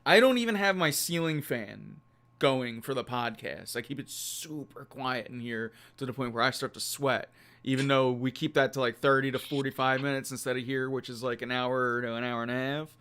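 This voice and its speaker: A man hosting a casual live stream from a quiet home room on a condenser mic, rambling and self-deprecating with frequent laughs. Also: medium pitch, normal speed, clean tone. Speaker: host of a live stream show. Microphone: USB condenser mic.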